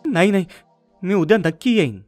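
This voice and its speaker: A man's voice: sits high, expressive, fairly quick. A man playing an anxious, frightened young son in a horror story, speaking urgently and dramatically. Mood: terrified, pleading. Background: loud background music.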